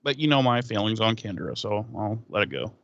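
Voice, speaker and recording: A man's voice: fairly low pitch, very animated, fairly quick, fairly bright tone, no echo, lo-fi sound. A man co-hosting a podcast, recapping an episode with crude, sarcastic humor and swearing. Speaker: podcast co-host. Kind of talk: casual episode recap chat.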